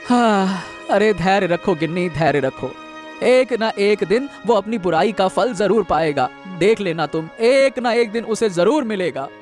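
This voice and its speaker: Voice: medium pitch, animated, measured pace, faint music behind, lightly acted. A performer voicing a poor male fisherman in a cartoon who wails and pleads. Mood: consoling. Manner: wailing and pleading. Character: poor male fisherman, upset but hopeful.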